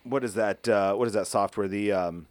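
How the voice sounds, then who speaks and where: A man's voice: lowish voice, normal speed, no room sound. A man co-hosting a podcast, chatting casually and riffing on jokes in an easygoing way. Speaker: podcast co-host.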